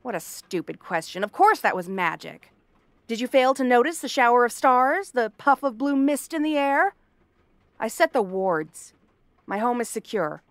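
A woman's voice: a little high, no echo, lightly acted. A woman playing a cranky, sarcastic exiled princess cursed into a frog girl, in a fantasy audio roleplay. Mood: indignant, then guarded, grudging. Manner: snappish, sarcastic, tsundere tomboyish bluster. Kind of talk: fantasy ASMR roleplay acting.

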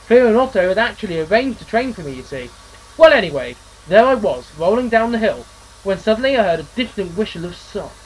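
A man's voice: high voice, very animated, fairly quick, a little hiss or hum, poor audio. A man voicing a cheerful, chatty male steam engine who spins folksy yarns and calls others mate. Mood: reminiscing.

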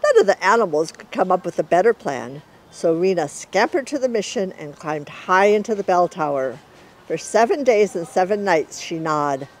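Middle-aged woman, expressive storybook read-aloud. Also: on the low side, normal speed. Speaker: author reading aloud.

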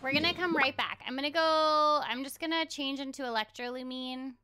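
A woman's voice: high-pitched, brisk, bright, forceful tone. A young adult woman chatting casually on a game livestream, thinking aloud as she plays. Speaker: streamer, voice actor. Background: game music.

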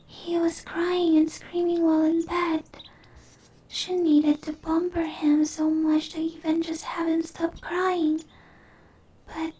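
A timid tree dryad, played by a woman for an ASMR roleplay. Her whispered voice is tiny and very high, gentle and soft-edged. She speaks extremely slowly, stumbling bashfully over her words. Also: low-quality recording. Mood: dreamy, admiring. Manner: hesitant, stammering, apologetic, slow.

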